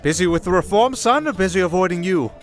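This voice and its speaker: A man playing a fatherly archbishop in an audio drama, speaking formally with measured, gentle irony. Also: high-pitched, lively intonation, faint music behind. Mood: wry, fatherly. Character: genial, wry, fatherly archbishop. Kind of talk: fantasy audio drama acting.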